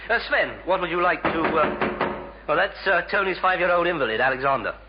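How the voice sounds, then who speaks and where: A man's voice: on the high side, lively intonation, fairly quick, close to an everyday voice. A man acting a bumbling, apologetic young English bachelor in a 1950s British radio sitcom, hesitant and stammering. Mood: flustered, eager. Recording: noisy, muffled 1950s radio recording.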